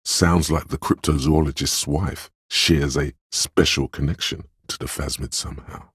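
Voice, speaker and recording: A man voicing a gentle, perceptive inner voice in a video game, narrating others' feelings in a measured, hushed, solemn and compassionate way. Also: extremely low pitch, fairly even intonation, breathy edge, no room sound, clean studio sound, full character voice. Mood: wistful, compassionate. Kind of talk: scripted game narration, intimate inner monologue.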